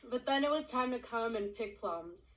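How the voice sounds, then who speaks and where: Young actress as migrant girl, highish, distant mic. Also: expressive, moderate pace, a bit bright. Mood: vivid storytelling. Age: young adult. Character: migrant farm girl recalling camps and harvest hardship. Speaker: student actor. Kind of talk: theatrical two-person oral history storytelling. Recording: distant microphone.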